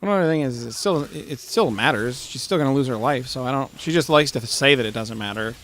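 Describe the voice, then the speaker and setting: A man co-hosting a gaming video with casual, tongue-in-cheek commentary and deadpan explanations. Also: mid-range voice, brisk. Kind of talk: casual bantering game commentary. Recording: faint room noise, game sounds behind.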